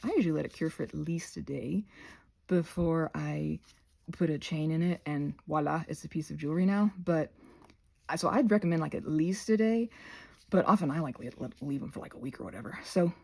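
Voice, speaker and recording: A woman's voice: low voice, brisk, vocal fry, a little mellow. A woman hosting an informal craft tutorial, talking in a scattered, playful way and often interrupting herself with asides. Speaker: host of a craft tutorial channel.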